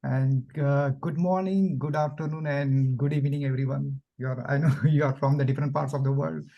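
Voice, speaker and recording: A man from India speaks as a graduate student on an online panel. He is courteous and keeps thanking his hosts. His voice sits in an ordinary middle pitch. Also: fairly even intonation, a little fast, vocal fry, muffled recording. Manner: polite, thanks people repeatedly. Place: video call.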